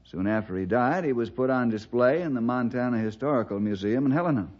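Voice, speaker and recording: A male actor playing a calm, inquisitive Western ranger with an American accent and a husky voice in a 1960s radio drama. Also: medium pitch, quick pace, lightly acted. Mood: thoughtful. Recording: dull vintage radio recording.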